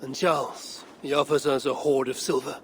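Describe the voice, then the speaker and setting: An actor playing a stoic, resolute Viking warrior in a video game, with a gravelly voice and a grave, terse manner. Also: male voice, medium pitch, level tone, moderate pace, fairly bright tone, slight background noise, close to an everyday voice. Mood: guarded. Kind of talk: dramatic cinematic game acting.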